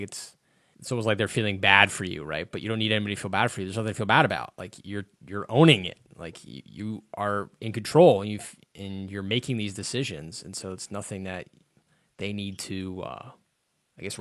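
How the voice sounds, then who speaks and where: Male interviewer, low-pitched, warm-hearted questioner on a dry recording. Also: no echo. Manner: empathetic, thinks aloud, many "right?" tags. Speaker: interviewer host. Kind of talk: conversational interview.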